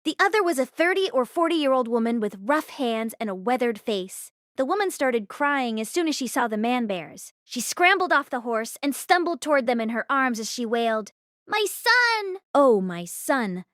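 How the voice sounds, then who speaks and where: A woman narrating a story aloud in an expressive read-along style. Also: on the high side, normal speed, hardly any pauses, no room sound, studio quality. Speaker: audiobook read-along host.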